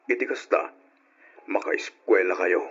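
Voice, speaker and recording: A man's voice: low voice, measured pace, over loud music. An actor playing an ailing, stern but loving old rural father in an Ilocano radio drama, weary and admonishing. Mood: earnest, admonishing. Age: older. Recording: dull lo-fi old radio recording.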